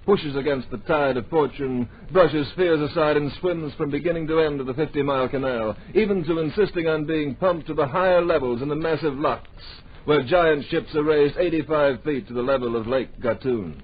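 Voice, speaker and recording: An actor as the earnest, dramatic narrator of a radio drama, delivering scripted adventure storytelling in a grand, deliberate manner. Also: male voice, a little high, slightly rough. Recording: dull old radio recording.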